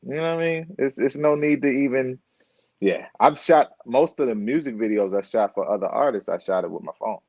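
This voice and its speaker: A man chatting as a guest on a live stream, laid-back and animated, laughing easily. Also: mid-range voice, a little fast, no room sound, dull audio. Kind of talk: casual interview chat.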